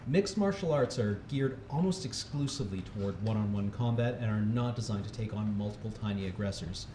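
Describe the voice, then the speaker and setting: A man's voice: fairly low pitch, expressive, a little fast. A man reads a humorous article aloud in a mock-serious, instructional way. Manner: often breaking into laughter. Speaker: reader at a charity livestream. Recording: echoey room with faint background noise.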